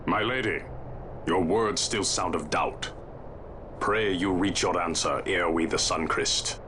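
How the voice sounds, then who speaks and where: A grave, courtly knight in a fantasy game. His voice is very low and he speaks formally in archaic phrases. There is clear noise in the background. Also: takes it slowly. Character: stern, courtly male knight counselling his lady. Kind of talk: video game cutscene acting.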